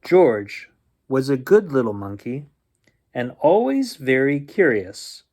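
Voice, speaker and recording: A man reading a children's picture book aloud as a bedtime storyteller, expressively and with drawn-out suspense. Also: mid-range voice, very animated, darkish tone.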